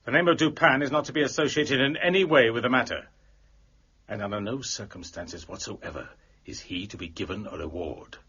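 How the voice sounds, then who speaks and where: A vain, self-important investigator lays down orders in a sharp, raspy voice. The style is period mystery drama and the sound is a bit dull. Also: male voice, medium pitch, fairly quick. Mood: smug, peremptory. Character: pompous, vain, vindictive investigator claiming all credit.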